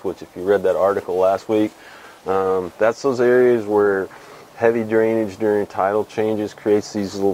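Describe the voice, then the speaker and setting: A man in his fifties from Texas hosts a laid-back fly fishing report, talking slowly with frequent pauses. His voice sits low. Faint outdoor ambience hums behind his lapel mic. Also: slight background noise, rough recording. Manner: relaxed and rambling, many uh and um pauses. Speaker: fly fishing show host. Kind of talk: casual weekly fishing report to camera. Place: outdoors under a shed with corrugated metal wall.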